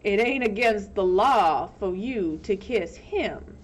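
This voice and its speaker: A woman voicing a drunken, lecherous male small-town sheriff with a slow, smug drawl in a storybook reading. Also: medium pitch, animated. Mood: taunting.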